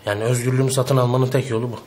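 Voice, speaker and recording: Proud, blustering working-class son played by an actor, thirties, mid-pitched, rapid colloquial delivery. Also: male voice, level tone, a little mellow, close to an everyday voice. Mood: indignant, worried. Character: exasperated, proud working-class son explaining himself to family. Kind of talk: TV comedy-drama acting.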